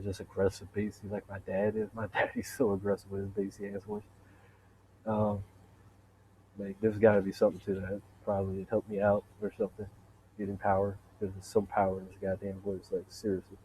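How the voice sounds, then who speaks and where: A man with a deep voice in a rambling, profane, boastful solo monologue, wandering from point to point. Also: mellow, a little hiss or hum, rough recording. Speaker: vlogger.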